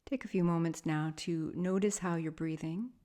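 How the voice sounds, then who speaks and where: A woman with a somewhat low voice leads a guided meditation as a yoga teacher. Her delivery is soft and soothing. She speaks in measured phrases and leaves long pauses between them.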